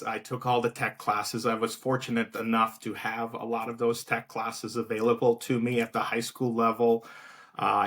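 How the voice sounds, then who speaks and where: Casual south african man chatting loosely as an interview guest. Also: medium pitch. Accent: light South African. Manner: relaxed, many fillers and restarts. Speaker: guest, outreach director.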